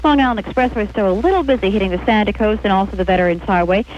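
Fast-talking female radio traffic reporter. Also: mid-range voice, a little mellow, dull audio. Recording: noisy radio aircheck.

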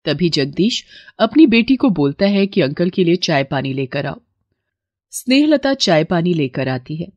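A woman narrating a cartoon moral story in steady scripted style. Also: on the low side, unhurried, no room sound, muffled recording.

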